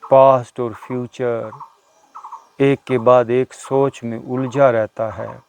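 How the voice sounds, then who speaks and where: A man leading a guided meditation as a teacher, speaking deliberately with short pauses between phrases. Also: medium pitch, flat delivery, gravelly, a little mellow, a little hiss or hum. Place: outdoors in a natural setting. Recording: outdoor recording with birdsong behind. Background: birdsong.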